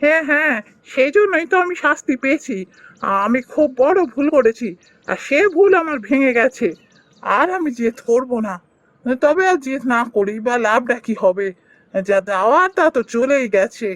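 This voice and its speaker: A man voicing a kindly, emotional village brother in a fairy-tale cartoon, expressive and melodramatic. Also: very high-pitched, normal speed, no room sound. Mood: remorseful.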